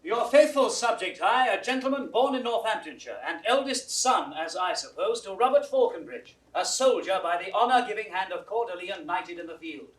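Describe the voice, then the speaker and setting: A blunt, cheeky young British gentleman in a Shakespeare audio drama. His voice sits on the high side and swoops freely. The delivery is bantering, irreverent and theatrical. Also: expressive, moderate pace, hardly any pauses, rough recording. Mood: cocky. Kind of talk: 1960s classical Shakespeare audio drama acting.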